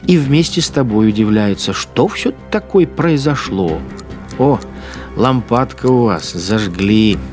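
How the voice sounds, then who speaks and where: A man's voice: lowish voice, animated, measured pace, a little mellow, soft background music. An actor playing a cruel, mocking collaborator policeman in a radio drama, speaking his inner monologue as he toys with his victims. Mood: menacing, gloating. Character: cruel, sneering wartime collaborator policeman with machine gun. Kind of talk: dramatic first-person interior monologue.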